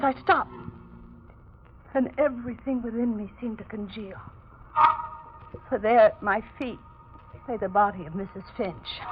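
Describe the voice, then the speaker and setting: A woman's voice: medium pitch, natural voice. An actress playing a terrified, distraught young woman narrating a murder mystery in dramatic 1940s radio style. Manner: breathless, dramatic, anguished. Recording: dull, noisy 1940s radio recording.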